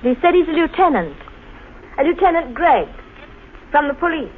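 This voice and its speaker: High-voiced young wife, tinny old radio. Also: female voice, sing-song, moderate pace. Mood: light, inquiring. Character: sweet, chatty, puzzled young wife. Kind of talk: 1950s radio mystery acting. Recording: tinny, hissy 1950s radio recording.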